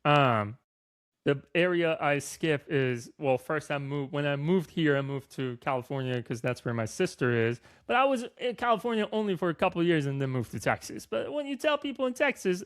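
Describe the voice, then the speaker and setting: Male guest from Iran, slightly higher voice, hesitant, lots of ums. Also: sing-song, brisk, a bit bright. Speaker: guest realtor. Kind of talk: interview answers.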